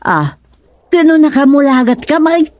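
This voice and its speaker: A woman's voice: high-pitched, big pitch swings, normal speed, darkish tone, no room sound. Lady doing a bickering skeptical ghost sidekick, ilocano accent, radio comedy, lots of teasing exclamations.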